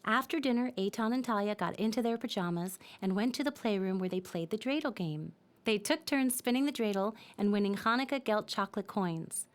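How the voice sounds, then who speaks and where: A woman narrating a scripted children's story in a simple, expressive storytelling manner. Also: mid-range voice, brisk.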